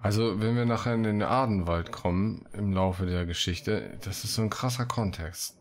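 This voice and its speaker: Low-voiced man doing casual let's play commentary. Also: normal speed, a little hiss or hum. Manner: playful, colloquial asides. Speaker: gaming video host. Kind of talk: casual let's play commentary, reading quest text aloud.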